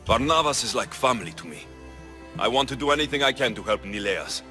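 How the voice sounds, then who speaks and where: An actor playing a capable, earnest Greek mercenary hero in a video game cutscene. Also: male voice, mid-range voice, expressive, a little fast, bright, forceful tone, faint music behind. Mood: calm, sincere.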